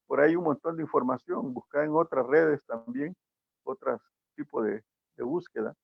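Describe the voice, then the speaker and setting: A man's voice: medium pitch, moderate pace, gravelly, husky, no room sound. A Salvadoran man speaking as a guest commentator, reflective and lecturing, with rhetorical questions. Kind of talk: opinion talk on a show. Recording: tinny phone-like line, dry.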